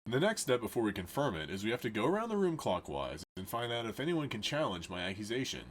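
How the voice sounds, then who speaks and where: A man's voice: lowish voice, sing-song, fast talker, a little hiss or hum. Man explaining card game rules. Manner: explains rules plainly, then plays it up with jokey asides. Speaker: game demonstrator. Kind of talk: scripted game tutorial with comic banter.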